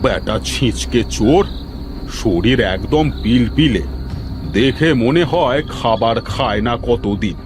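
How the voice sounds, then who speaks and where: A man's voice: mid-range voice, lively intonation, slow, background noise. A man voicing a boastful, bullying bandit chief in a cartoon, commanding and threatening. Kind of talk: Bengali cartoon voice acting.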